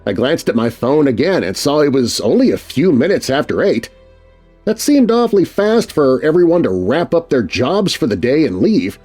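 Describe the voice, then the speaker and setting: A man narrating a scary children's story aloud, reading expressively and dropping to a hush at tense moments. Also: medium pitch, sing-song, moderate pace, soft background music.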